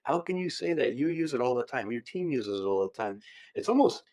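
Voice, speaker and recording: A man in his fifties hosting a podcast interview, talking in a wry, animated way and jumping up in pitch when he quotes clients. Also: a little fast, dry recording, studio quality. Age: 50s. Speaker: podcast host. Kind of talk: conversational interview.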